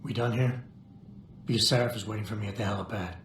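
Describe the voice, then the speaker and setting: Gruff, sardonic security chief for a game; low register, clipped and dry, roomy sound. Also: male voice, quick pace, a little hiss or hum. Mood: dry, irritated. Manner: terse, deadpan, sarcastic. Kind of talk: video game cutscene acting. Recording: roomy echo, faint game ambience.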